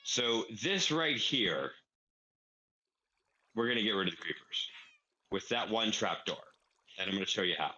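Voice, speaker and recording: A man's voice: lowish voice, animated, moderate pace, long pauses, pushed and bright, dull audio, a little hiss or hum. A man explaining a game build casually, step by step, thinking aloud as he plays. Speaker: player explaining a farm build. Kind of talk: casual gaming tutorial.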